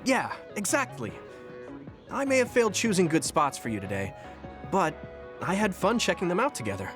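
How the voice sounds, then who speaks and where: Kind young soldier from a game, highish voice, really expressive, gentle and friendly, music behind. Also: male voice, normal speed. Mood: friendly, upbeat. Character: earnest, kind young male soldier, eager to help. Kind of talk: video game voice acting. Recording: background music over dialogue.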